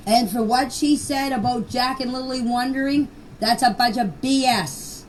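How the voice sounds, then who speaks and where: A woman's voice: on the high side, moderate pace. An older woman in her sixties or beyond speaking plainly and bluntly in an unscripted personal voice message, with an emphatic manner. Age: 60s or older. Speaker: grandmother giving her personal account. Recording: distant, noisy amateur voice clip.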